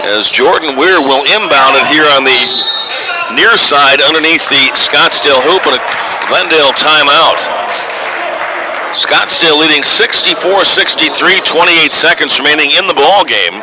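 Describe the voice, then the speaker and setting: A man's voice: on the high side, moderate pace. A man calling live basketball play-by-play for radio, in clipped running descriptions of the action, score and clock. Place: basketball gym during a game. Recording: noisy live gym broadcast.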